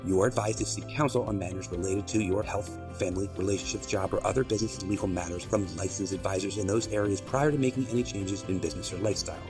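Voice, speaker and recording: A man's voice: deep voice, fast talker, few pauses. A man announcing, reading a scripted disclaimer in a formal, unbroken delivery. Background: music.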